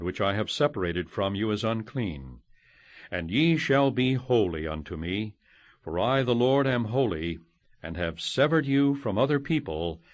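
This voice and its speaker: A man reading scripture as an audiobook narrator, solemn and measured, declaiming each phrase and letting it fall at the end. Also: fairly low pitch, no echo. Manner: measured, declamatory, phrases ending on falling cadences.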